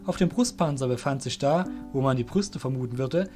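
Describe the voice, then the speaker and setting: A man narrating a fantasy audio drama from a script in a deliberate, descriptive manner. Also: mid-range voice, music in the background.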